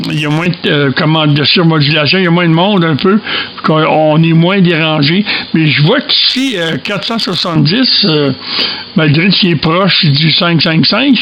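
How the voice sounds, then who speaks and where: A man with a Quebec French accent chats over amateur radio in a fairly high, creaky voice. He searches for words and doubles back on them, with light radio noise behind him. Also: moderate pace, fairly bright tone. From Quebec, Canada. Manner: hesitant, repeats words. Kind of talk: casual ham radio chat. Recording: noisy shortwave radio contact.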